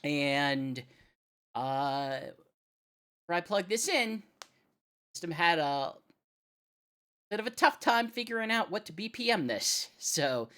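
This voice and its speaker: Female streamer, low lively voice, unhurried and self-deprecating, casual rhythm-game chat. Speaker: live music stream host.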